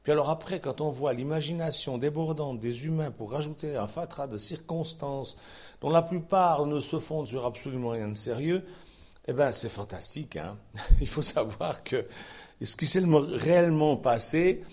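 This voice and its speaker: A man's voice: on the high side, moderate pace. A man from Switzerland with a Swiss French accent, preaching an informal Bible sermon in a folksy, chatty way with asides to his listeners. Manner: folksy, chatty asides, lively rising emphasis.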